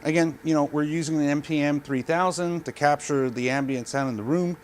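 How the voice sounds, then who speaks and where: A man's voice: on the high side, fairly even intonation, brisk. A man giving an unscripted product review in a plain-spoken, informal, matter-of-fact way, speaking into a body-worn mic. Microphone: body pack mic.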